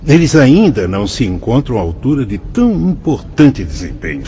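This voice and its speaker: A man's voice: mid-range voice, very animated, normal speed, dark tone, lo-fi sound, slight background noise, natural voice. A man playing a solemn, wise spirit guide. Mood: explaining. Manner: solemn, dramatic, sweeping phrasing. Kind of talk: radio drama acting.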